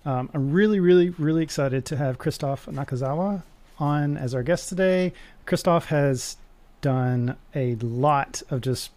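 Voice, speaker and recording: Dark-voiced enthusiastic podcast co-host. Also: male voice, mid-range voice, no echo. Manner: enthusiastic, friendly. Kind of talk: casual podcast chat and read promo.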